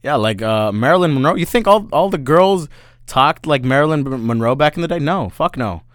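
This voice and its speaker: A man's voice: a little high, animated, fairly quick, no echo, clean studio sound. A man in his twenties chatting casually, slangy and loose, saying "bro" a lot and swearing freely. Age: 20s. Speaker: podcast host. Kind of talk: casual chat.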